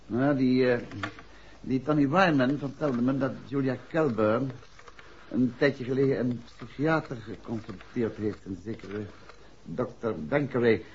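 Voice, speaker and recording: An actor playing a shrewd, urbane and authoritative amateur detective in a 1960s radio drama. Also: male voice, medium pitch, measured pace, darkish tone, natural voice. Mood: thoughtful, brisk. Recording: dull, faintly noisy 1960s radio recording.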